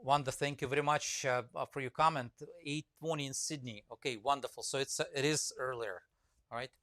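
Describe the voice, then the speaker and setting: A man with a Russian accent talks loosely to his live-stream viewers, full of ums and restarts. His voice sits at an ordinary middle pitch. The sound is dry and close, with no room echo. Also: fairly even intonation, fairly quick, a bit bright. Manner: rambling, many fillers, reads comments aloud. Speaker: woodcarving teacher live-streaming.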